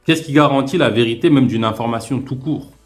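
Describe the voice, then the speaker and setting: A man hosting a calm live-stream debate, reasoning aloud in a hesitant way with many fillers and restarts. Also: mid-range voice, fairly quick, soft background music. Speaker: host. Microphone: remote live-stream call.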